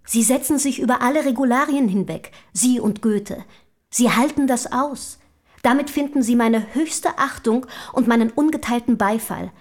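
A woman's voice: fairly high pitch, moderate pace. An actress playing an eager, self-assured young noblewoman writer of the early 1800s in a radio play, with formal, inquisitive diction. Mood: proud, effusive. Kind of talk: German radio play acting.

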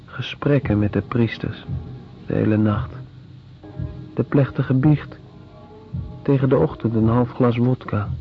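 A male actor narrating a radio drama as a sober, deliberate chronicler of grim events. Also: mid-range voice, soft background music, lightly acted. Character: sober chronicler recounting prison colony horrors. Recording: dull lo-fi radio play recording.

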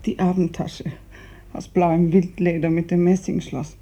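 An actress playing a gravely ill, bedridden woman in a 1950s radio drama, fearful and imploring, her weak, halting voice often sinking to a whisper. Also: on the low side, little pitch movement, warm tone. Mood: weak, wistful. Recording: muffled 1950s radio recording.

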